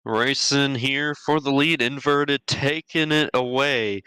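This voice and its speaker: A man's voice: mid-range voice, takes it slowly, sharp tone, smooth, no room sound. A man doing analytical color commentary on a sim race, explaining the moves. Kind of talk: sim racing broadcast commentary.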